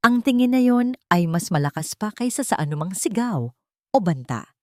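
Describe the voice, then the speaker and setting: A woman's voice: mid-range voice, big pitch swings, moderate pace, no room sound, studio quality. A woman with a Filipino accent reading a scripted dramatic story as its narrator.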